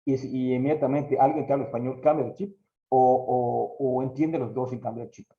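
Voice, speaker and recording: A man's voice: medium pitch, steady tone, normal speed. A man, a professor asking a guest lecturer long, formal questions. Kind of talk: conference Q&A. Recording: echoey muffled room sound.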